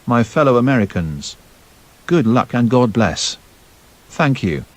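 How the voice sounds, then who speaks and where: A man's voice: medium pitch, sing-song, unhurried. A man playing a solemn, defiant United States President giving a formal scripted address in an audio drama.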